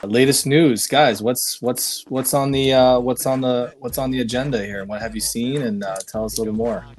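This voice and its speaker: A man's voice: medium pitch, steady tone, normal speed. A man hosting a live online show, asking guests questions and often repeating words as he forms them. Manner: repeats himself while forming questions. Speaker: host.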